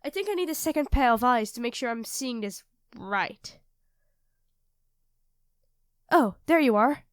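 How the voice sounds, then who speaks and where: A woman plays a young girlfriend with a high-pitched voice, recorded close and dry. She is doting and playful, teasing her partner with expressive turns of phrase. It has the intimate feel of an ASMR roleplay audio drama. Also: normal speed, smooth, dry recording, natural voice. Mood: flustered, unsure. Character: affectionate, teasing young live-in girlfriend cooking dinner.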